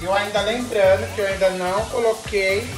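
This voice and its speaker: A woman's voice: mid-range voice, steady tone, normal speed. A middle-aged woman with a Brazilian Portuguese accent hosts a casual cooking demonstration in her home kitchen. She talks in a flamboyant, campy way, full of slang and playful exclamations. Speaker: cooking show host. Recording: echoey kitchen with music behind.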